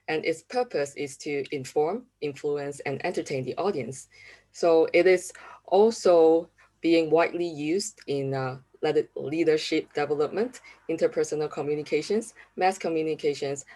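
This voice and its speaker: A woman from Malaysia in her thirties presents research at an academic conference. Her voice sits low for a woman. She speaks politely and steadily, pausing on hesitant fillers. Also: dark tone. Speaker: university lecturer presenting research.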